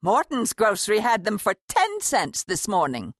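A male narrator voicing a cranky older woman customer who haggles and complains in a sharp, indignant way. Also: sits high, huge pitch swings, moderate pace, no echo, clean studio sound, exaggerated voice. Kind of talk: audiobook character voice.

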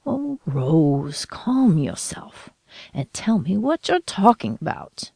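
A woman voicing a calm older grandfather who talks in a soothing, reassuring way. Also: low-pitched, takes it slowly, vocal fry, husky, no echo, studio quality, full character voice.

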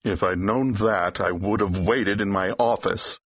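A man playing a wry, jaunty theatrical agent with a husky voice in an audiobook drama. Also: fairly low pitch, fairly even intonation, unhurried, fairly bright tone, dry recording, exaggerated voice. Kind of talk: full-cast audiobook acting. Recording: dull, slightly muffled audio.

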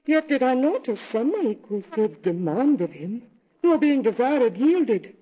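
Jilted young maiden, sorrowful; classical verse drama, unhurried, lyrical delivery, soft dark tone. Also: female voice, fairly high pitch, big pitch swings, poor audio. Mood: mournful, regretful. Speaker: actor reading a narrative poem.

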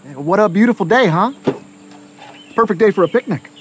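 A man's voice: high-pitched, lively intonation, fairly quick, a little hiss or hum. A man playing a chipper, boastful middle-aged suburban dad in an audio drama, with breezy, folksy cheer.

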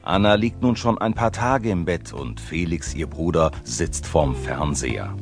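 Male narrator, deep gravelly voice, calm storytelling, music underneath, children's audio story. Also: a little slow.

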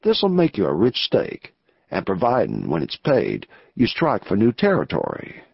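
A man's voice: fairly low pitch, wildly swooping pitch, normal speed, gravelly, breathy edge, no room sound, pitched below the performer's own voice, heavily acted. A man voicing a greedy, scheming male rancher who talks eagerly and pushes his plan in an audiobook. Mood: urgent plotting. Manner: eager, pressing. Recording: dull lo-fi audio.

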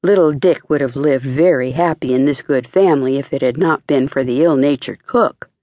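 A woman reading a children's fairy tale as an expressive audiobook narrator. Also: a bass voice, normal speed, dry recording. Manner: expressive storytelling.

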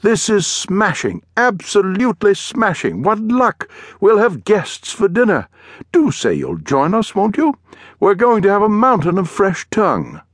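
Pompous, high-pitched English gentleman. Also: wildly swooping pitch, unhurried, no echo, clean studio sound, exaggerated voice. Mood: delighted. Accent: upper-class English. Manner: effusive, pompous, talkative. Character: pompous upper-class English gentleman adventurer, chatty and cheerful. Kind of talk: audiobook character voice.

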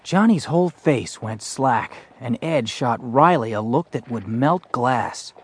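A man's voice: medium pitch, lively intonation, unhurried, a little hiss or hum, close to an everyday voice. An actor playing an earnest fourteen-year-old boy in a western, telling his story in a plainspoken, hesitant, thoughtful way. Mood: reflective. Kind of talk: first-person dramatized audiobook narration and dialogue.

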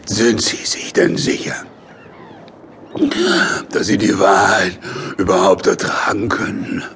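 An actor playing a cynical, weary hired killer in a German audio drama, halting, strained and sardonic. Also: male voice, low voice, level tone, a bit bright, a little hiss or hum, heavily acted. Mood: dying, bitter irony. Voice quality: creaky, gravelly.

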